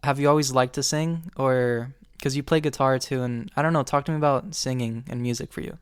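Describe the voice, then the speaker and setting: A man's voice: mid-range voice, fairly even intonation, a little fast, clean tone, dry recording. A man hosting a podcast, gushing in a friendly, casual way and asking questions. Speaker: podcast host. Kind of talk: casual interview chat.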